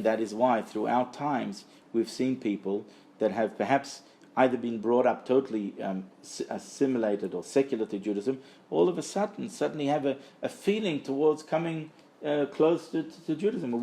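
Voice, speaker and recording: A man's voice: medium pitch, creaky, a little mellow. A man giving a Torah lecture as a religious teacher, speaking emphatically and repeating phrases for stress. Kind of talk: Torah lecture to a live group.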